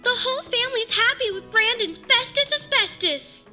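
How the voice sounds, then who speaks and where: A woman playing a gushing, over-excited housewife in an old-time radio ad, with an exaggerated delivery. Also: very high-pitched, moderate pace, soft background music, exaggerated voice.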